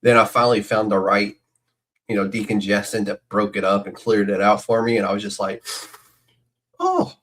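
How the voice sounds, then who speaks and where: Male podcast host, lowish voice, chatty, rambling, excitable. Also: normal speed. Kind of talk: casual podcast interview.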